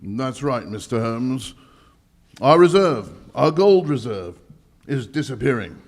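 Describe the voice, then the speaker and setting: A man's voice: mid-range voice, sing-song, slow pace, heavily acted. A man playing an alarmed, pompous lordly bank governor in a live 1940s-style radio mystery, emphatic and melodramatic. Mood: grave, baffled.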